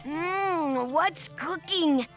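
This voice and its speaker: A woman's voice: extremely high pitch, extremely slow, fairly bright tone, soft background music, exaggerated voice. A woman voicing a cheeky, hungry boy who drawls his words.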